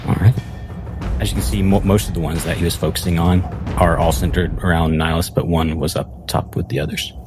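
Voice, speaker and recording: A man with a very deep voice takes the part of a tabletop game player, narrating his character's actions. Music plays clearly under the voice. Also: steady tone, brisk.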